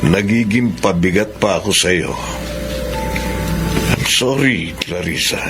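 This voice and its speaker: A man playing a gentle husband ill with cancer who speaks in a soft, apologetic and grateful way in a radio drama. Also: deep voice, slow pace. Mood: remorseful. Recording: music bed under the voice.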